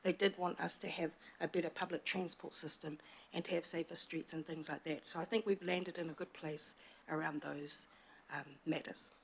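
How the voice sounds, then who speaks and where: A middle-aged woman from Samoa, whose first language is Samoan, speaking in a formal council debate into a desk microphone, measured and reaching for proverbs. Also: low voice, steady tone, creaky, slightly rough. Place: large council chamber indoors.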